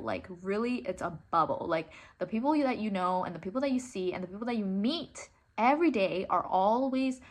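Chatty young woman from Hong Kong. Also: medium pitch. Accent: Hong Kong international-school English. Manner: chatty, lots of fillers and little laughs. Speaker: podcast host. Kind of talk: casual solo podcast ramble. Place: living room floor at home.

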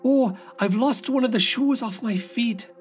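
A man's voice: very high voice, measured pace, voice pushed up. A man voicing a wistful, downtrodden young woman in a storybook fairy tale, speaking earnestly. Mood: vexed.

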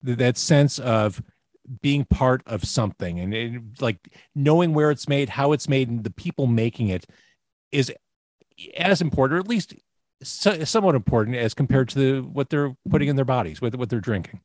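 A man's voice: mid-range voice, animated, normal speed, darkish tone, no echo. A man hosting an interview, engaged and affirming as he asks follow-up questions. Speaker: interviewer host. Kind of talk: conversational interview.

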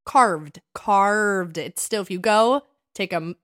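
A woman in her thirties speaks as a podcast co-host at a medium pitch. She tells personal stories in a casual, animated way. She leans on words and repeats them for emphasis. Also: slow, no echo, clean studio sound. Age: 30s.

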